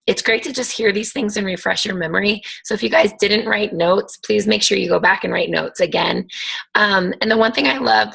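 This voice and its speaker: A woman's voice: medium pitch, gravelly, fairly bright tone, husky. A woman coach hosting a video team call, talking in a chatty, encouraging way with lots of ums and likes. Her voice is scratchy. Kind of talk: casual motivational team call.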